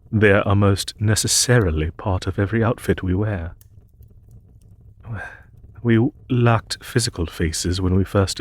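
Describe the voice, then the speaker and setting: A man plays an ancient demon emperor with a low, husky voice. He speaks formally and gently, like a courteous ruler recounting old lore to a guest. The delivery is slow and measured, in the manner of a fantasy audio drama. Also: exaggerated voice. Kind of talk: scripted audio roleplay monologue.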